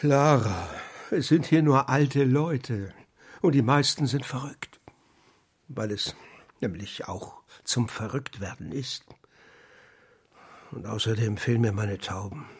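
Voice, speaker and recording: Gravelly old pigeon keeper. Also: male voice, medium pitch, slow pace, long pauses, full character voice. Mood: worried, grumbling. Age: older. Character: lonely, rueful old pigeon keeper in a care home. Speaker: actor in a children's radio play. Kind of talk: German children's radio drama acting.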